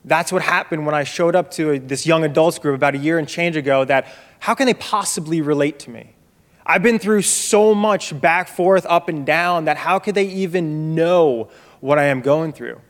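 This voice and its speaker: Young man, higher pitch, loud and emphatic testimony, mic held low, echoey church hall. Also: normal speed. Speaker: young adult group member giving testimony. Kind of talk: spoken testimony to a congregation. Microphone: handheld microphone held low.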